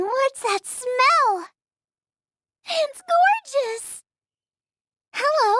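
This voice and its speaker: A woman's voice: very high-pitched, a bit bright, no room sound, studio quality, heavily acted. A woman voicing a cheerful, stubborn, creative teenage girl chef in a video game, bubbly and emphatic. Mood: excited, eager. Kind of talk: animated game voice acting.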